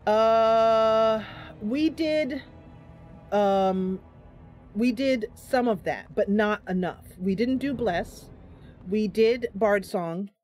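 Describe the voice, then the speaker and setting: A woman's voice: on the high side, very slow, darkish tone, soft background music. A woman streaming a game, casually commentating live and thinking aloud as she chats with her viewers.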